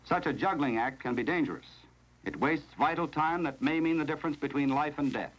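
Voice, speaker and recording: Higher-pitched American man, vintage training film narrator. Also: highs cut off. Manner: formal, measured, instructional. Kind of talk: 1970s instructional film narration, scripted. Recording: vintage 1970s film soundtrack.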